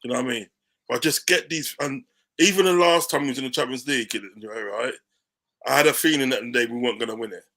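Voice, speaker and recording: Guy going on about his team, excited and loud, punchy bright voice, keeps saying you know what i mean. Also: medium pitch, fast talker. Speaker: podcast panelist, football fan. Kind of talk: casual football chat.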